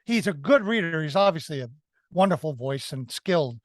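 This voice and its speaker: A man co-hosting a review discussion, explaining his views casually and haltingly, often repeating and correcting himself. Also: on the high side, lively intonation, no room sound, clean studio sound. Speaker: podcast co-host reviewing films.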